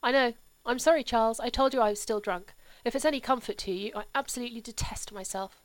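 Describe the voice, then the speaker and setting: A woman voicing a sullen, impulsive young English gentleman who is still a little drunk, petulant and raised. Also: a little high, fast, dry recording, voice pushed up, natural voice. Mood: defiant.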